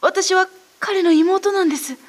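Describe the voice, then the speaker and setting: A woman's voice: extremely high pitch, a little slow. A woman playing an anxious, polite young sister searching for her brother in a horror radio drama. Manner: polite, pleading, hesitant.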